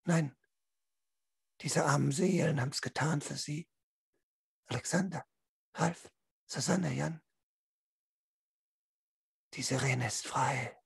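Male tabletop storyteller, young adult, dry close sound, gravelly voice, dramatic and drawn-out. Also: on the high side, no echo. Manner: theatrical, drawn-out, intense delivery. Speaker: game master, storyteller. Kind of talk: dramatic tabletop role-play narration. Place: small room at home, online video call.

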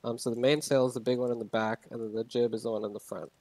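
A man's voice: medium pitch, flat delivery, quick pace, warm tone, no room sound. Young male narrator, loose unscripted tutorial talk, dry and close. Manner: rambling, many ums and restarts. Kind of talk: casual gameplay tutorial.

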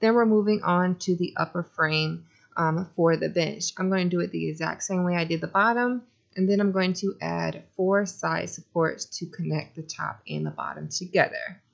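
Young woman talking through her diy closet build, lowish gravelly voice, kinda muffled sound. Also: normal speed. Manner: plain step-by-step explaining. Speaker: DIY vlogger. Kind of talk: casual how-to narration. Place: small closet in a house.

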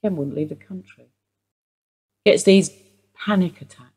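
A woman's voice: on the low side, unhurried, darkish tone, slightly rough. A woman acting a wistful widowed housewife questioned by police in a radio play, rambling through memories. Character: widowed English housewife, Mrs Steele, wistful suspect.

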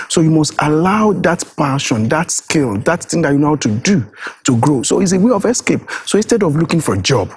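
Male pastor guest, raised pitch, very husky tone, emphatic and preacherly. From Nigeria. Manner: emphatic, repeats phrases, preacherly. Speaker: pastor and youth evangelist, guest. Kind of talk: earnest guest answers in an interview. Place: studio.

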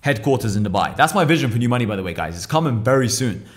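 Fast-talking young English man. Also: medium pitch, very animated. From England. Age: 20s. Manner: fast, blunt, swears freely. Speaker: podcast guest, business owner. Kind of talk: casual podcast conversation. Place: room seen, small room at a table indoors. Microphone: boom mic on an arm.

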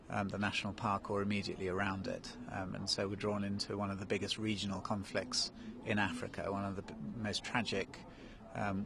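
Deep-voiced guy in his 40s, outdoor tv interview, lots of ums, people chattering behind. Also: creaky. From grew up in Kenya. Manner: hesitant, many ums and restarts. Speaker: interview guest, national park director. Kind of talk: live TV interview. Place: outdoors in snow. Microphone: handheld mic held by interviewer.

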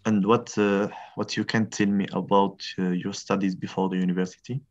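A man's voice: low-pitched, level tone, moderate pace, a little mellow. A young man, a lecturer running introductions on a video call in a friendly, encouraging way. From Morocco. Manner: friendly, encouraging, repeats questions. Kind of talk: online class introductions. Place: indoor room, tiled wall. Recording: dull lo-fi video call audio.

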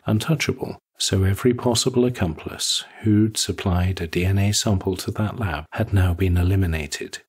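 A man's voice: deep voice, husky. A man narrating a scripted short story in a wry storytelling manner. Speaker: audiobook narrator.

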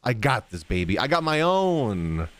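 A man in his thirties reacting casually to a game on a live stream, excitable and slangy. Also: mid-range voice, measured pace. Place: indoors, small streaming room. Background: game music and sounds.

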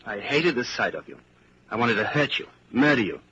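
A man's voice: mid-range voice, big pitch swings, normal speed, close to an everyday voice. An actor in a 1940s radio drama playing a weary, earnest, secretly rebellious man of thirty-nine. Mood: intense, bitter. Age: 30s. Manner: earnest, intense. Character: weary, fatalistic 39-year-old man, secretly rebellious, self-doubting. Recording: muffled, noisy 1940s radio broadcast recording.

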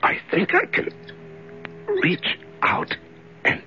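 An actor in a 1970s radio drama playing a weak, half-mad prisoner who talks haltingly and repetitively to himself in a tremulous, feeble voice. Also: male voice, pushed and bright, soft background music. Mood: wistful hope. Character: weak, half-mad male prisoner in darkness, desperate yet gentle. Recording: dull vintage radio recording.

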